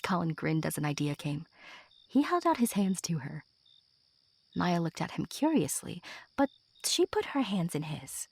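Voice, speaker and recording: Hushed, husky female narrator. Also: fairly low pitch, sing-song, measured pace, pauses often. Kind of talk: scripted story narration.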